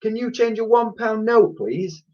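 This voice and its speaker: A man's voice: high voice, unhurried, highs cut off, voice pushed up. A man voicing a cheeky, eager young boy in a children's storybook reading. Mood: eager, polite. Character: cheeky, polite young boy, keen and mischievous.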